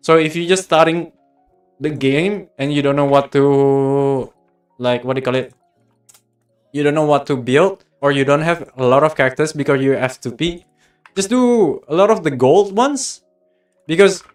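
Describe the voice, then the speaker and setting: A man from Australia hosting a livestream, chatting casually and directly with viewers as he gives game guidance. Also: fairly high pitch. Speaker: livestream host and guide maker. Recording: music playing behind the voice. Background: background music.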